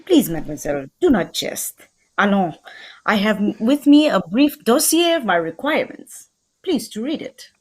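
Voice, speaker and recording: A woman playing a proud, fussy, courteous Belgian male detective in a radio drama, with a put-on French accent and a formal, precise, pompous, husky delivery. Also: medium pitch, sing-song, moderate pace. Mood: formal, insistent. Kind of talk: old-time radio drama acting.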